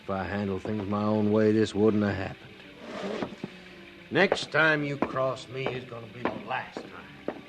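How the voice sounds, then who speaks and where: An American actor playing a gruff, hostile, taunting sheriff in a 1950s radio western. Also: male voice, on the low side, a little slow, pauses often, music in the background, lightly acted. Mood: angry, threatening. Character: hostile, sneering Old West sheriff.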